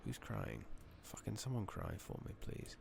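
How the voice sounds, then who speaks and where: A man giving soft-spoken ASMR game commentary, muttering casually to himself and often slipping into a whisper, on a noisy close mic. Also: on the low side, big pitch swings, a little slow, gravelly. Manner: murmuring, often dropping into whispers. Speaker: video game streamer. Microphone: makeshift-rigged new microphone. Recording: noisy close mic, slightly loud, clicking.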